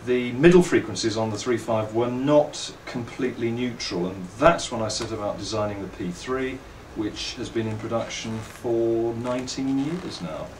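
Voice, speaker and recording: A middle-aged man with an English accent, giving reflective, articulate interview answers in measured phrases and telling anecdotes as a company owner and designer. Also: mid-range voice, darkish tone. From England. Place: indoors at a trade show. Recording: roomy, distant mic, faint background noise.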